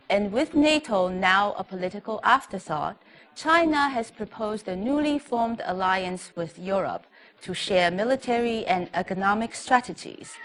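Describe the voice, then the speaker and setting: A woman's voice: mid-range voice, a bit bright. An actress playing a proud, polished female Chinese state TV reporter, delivering a formal, declamatory propaganda news report in a video game. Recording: music behind the voice.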